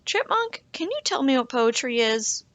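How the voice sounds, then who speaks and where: A woman's voice: sits high, sing-song, moderate pace, a bit bright, no room sound, voice pushed up. A woman voicing a curious, polite young boy in a children's picture book, asking eagerly. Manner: questioning, eager.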